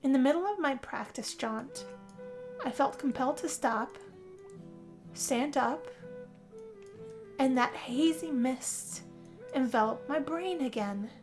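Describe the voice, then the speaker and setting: Casting: female performer as moody young woman narrating her own game story, highish voice, drawn-out confessional delivery, music behind. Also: darkish tone. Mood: haunted, entranced. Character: young woman Yazmin, moody, weary, being turned vampire. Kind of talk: first-person dramatic role-play narration over a game. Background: guitar music.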